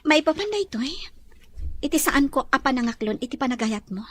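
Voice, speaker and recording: A woman's voice: high voice, lively intonation, fairly quick. A woman playing a well-off young madam in a romantic Ilocano audio drama. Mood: gentle, apologetic.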